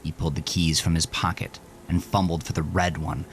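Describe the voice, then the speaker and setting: A man narrating a horror story with slow, intense delivery. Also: sits low, level tone, slight background noise.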